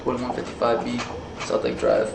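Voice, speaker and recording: A man's voice: mid-range voice, sing-song, normal speed, creaky. An American man in his thirties answering questions in a police interview, talking informally and rambling, with some swearing. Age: 30s. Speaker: suspect being questioned by police. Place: indoor police interview room. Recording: echoey room, noisy interrogation audio.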